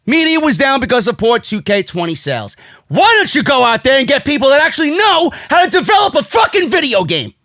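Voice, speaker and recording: A man's voice: very high voice, big pitch swings, brisk, sharp tone, no echo, studio quality. A man with a New York accent delivering a brash, profane, indignant rant as an opinionated wrestling commentary host, hammering his points with emphasis. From United States.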